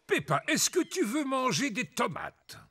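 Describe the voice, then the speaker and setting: A man voicing a kind, playful grandfather pig in a cartoon dub, talking gently and teasingly. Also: extremely high pitch, wildly swooping pitch, measured pace, full character voice. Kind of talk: cartoon dubbing. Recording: cartoon soundtrack with music.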